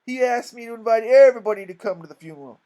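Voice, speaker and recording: A man reading aloud plays a self-important swindler from a storybook. He talks in a high voice, wheedling and long-winded, dressing up folksy grammar with learned airs as he passes himself off as an English gentleman. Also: animated, very fast. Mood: pious, smooth.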